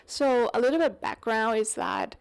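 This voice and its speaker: Young thai woman yapping on her podcast, kinda high voice, lots of um and like. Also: unhurried, gravelly. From Thailand. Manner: rambling, lots of fillers and self-corrections. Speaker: podcast host. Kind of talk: casual solo podcast monologue.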